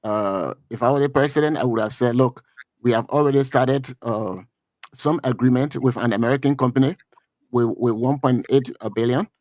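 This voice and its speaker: A middle-aged Liberian man with a Liberian accent giving political commentary on a talk show, halting and repeating words with many fillers. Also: medium pitch, a little mellow, no room sound.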